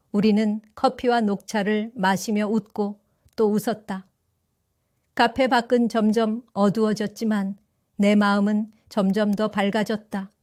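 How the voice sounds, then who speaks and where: A woman in her seventies narrating her own story for an audio drama, in a gentle, reflective and wistful way. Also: medium pitch, no echo, studio quality. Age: 70s. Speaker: first-person narrator.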